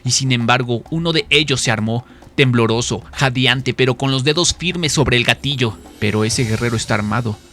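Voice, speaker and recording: A man's voice: medium pitch, moderate pace, a bit bright. A man giving dramatic scripted narration of a story in a solemn, theatrical manner. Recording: music behind the voice.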